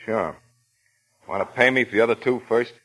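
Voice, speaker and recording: An actor playing a wary, tough-talking American bartender with a wry, clipped, needling manner in an old radio thriller. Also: male voice, medium pitch, normal speed, fairly bright tone, close to an everyday voice. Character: suspicious, tough-talking New York bartender, wary of deadbeats. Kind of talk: mid-century American radio thriller acting.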